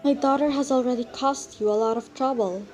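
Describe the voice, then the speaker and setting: A woman's voice: high voice, a little fast, a little mellow, faint music behind, lightly acted. A young woman playing a polite, stubbornly apologetic society mother in an amateur stage play, speaking formally and insistently. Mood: politely insistent.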